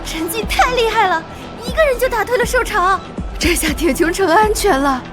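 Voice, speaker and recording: A woman's voice: extremely high pitch, lively intonation, normal speed, over music. An actress voicing a fervent, outspoken female supporter in a motion-comic drama.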